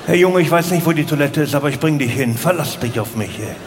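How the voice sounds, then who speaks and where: A man's voice: a little high, lively intonation, fast, lightly acted. A man voicing an earnest, touchy-feely young social worker in a stand-up routine, soothing, chummy and patronising. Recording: live hall reverb with audience noise.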